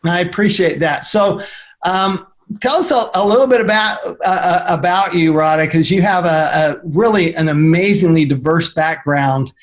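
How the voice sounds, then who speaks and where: A man with a fairly high speaking voice hosts an interview over a call. He rambles a little, restarting phrases and padding with fillers as he builds up his guest. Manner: halting, many fillers and restarts. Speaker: host. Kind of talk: interview podcast. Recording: remote call.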